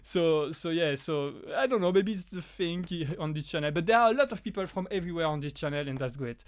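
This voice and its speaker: A fairly high-pitched young man speaks English with a French accent. He chats casually as he hosts a live stream, reading viewer names and countries aloud, often restarting his sentences and laughing. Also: animated, a little fast. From France. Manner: reads out chat names, frequent restarts and laughs. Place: apartment.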